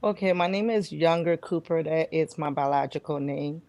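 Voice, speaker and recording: A woman's voice: low voice, moderate pace, darkish tone. A middle-aged woman, giving conversational answers as an interview guest. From Liberia. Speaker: guest, reality show cast member and nurse.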